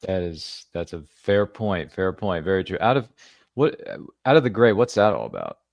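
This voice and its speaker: Chatty, enthusiastic man host with a low voice. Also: big pitch swings, moderate pace, creaky, dry recording, lo-fi sound. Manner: chatty and enthusiastic, lots of praise. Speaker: podcast host. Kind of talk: casual interview chat.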